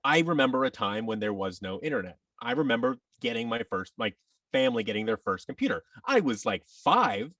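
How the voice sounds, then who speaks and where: Guy in his 30s on a podcast, rambly n opinionated, gravelly voice, bit far from the mic. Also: medium pitch, very animated, brisk, a bit bright, no room sound. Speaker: podcast guest, audio drama producer and actor. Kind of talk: casual interview conversation.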